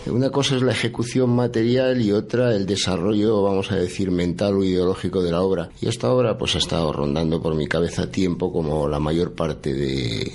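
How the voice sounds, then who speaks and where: Low-voiced man, mixed Madrid-Galician accent. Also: steady tone, brisk, few pauses, a little mellow. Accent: mixed Madrid and Galician Spanish. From Madrid, Spain. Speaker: author being interviewed. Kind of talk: explanatory radio interview.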